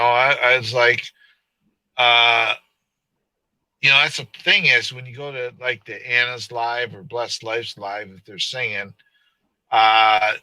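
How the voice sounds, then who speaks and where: A man hosts a laid-back live stream, chatting and ribbing his friends. His voice is sharp and bright. The sound is dry and close, with no room around it. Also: medium pitch, dry recording, low-quality recording. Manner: rambling, joking, laughs often. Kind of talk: casual live stream chat.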